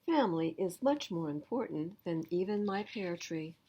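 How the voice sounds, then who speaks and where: A kindly old storybook grandmother, played by a woman reader, reassuring and forgiving, with a husky, expressive voice. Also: fairly low pitch, moderate pace, muffled recording.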